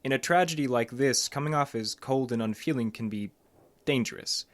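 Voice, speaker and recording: An actor playing a concerned psychologist in an audio drama, a man who is earnest, measured and gently pressing. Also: mid-range voice, lightly acted. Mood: probing. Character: concerned, probing male psychologist, earnest and cautioning.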